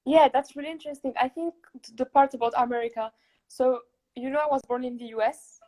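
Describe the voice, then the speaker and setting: A young woman from Morocco hosting a casual interview over a video call, chatty and quick to laugh. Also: high voice, steady tone, darkish tone. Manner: chatty, laughs often, many fillers. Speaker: podcast host.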